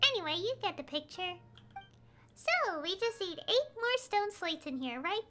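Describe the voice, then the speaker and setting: A woman voicing a small, childlike female fairy companion in a game, squeaky, emphatic, excitable and a little bossy. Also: normal speed, very noisy. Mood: explaining eagerly. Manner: exclamatory, emphatic.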